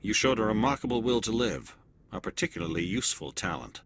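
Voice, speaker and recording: An actor playing a male turian alien agent in a video game cutscene, calm and clipped with quiet authority. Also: lowish voice, fast, slight background noise. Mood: measured. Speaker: elite agent observing a mission.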